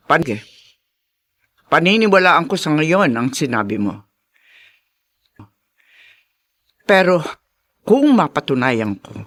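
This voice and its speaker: A man's voice: on the high side, a bit bright. A man with a Filipino accent playing a stern, unbending police chief in a radio drama, speaking with emphasis. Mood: stern warning. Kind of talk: Tagalog radio drama acting.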